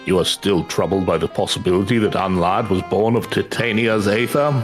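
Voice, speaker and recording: A somewhat low voice of a man in character delivers video game dialogue as a thoughtful scholar. The manner is formal, measured and probing, with clear background music. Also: lightly acted.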